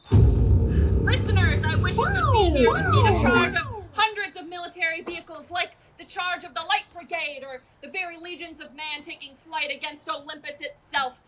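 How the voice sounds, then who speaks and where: A woman's voice: very high voice, animated, normal speed, music in the background. A woman playing a dramatic radio reporter who narrates an alien invasion in grandiose, melodramatic style, performing outdoors. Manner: grandiose, florid, theatrical delivery. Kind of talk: melodramatic old-time radio play narration. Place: outdoor parking lot theater performance. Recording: noisy outdoor stage performance.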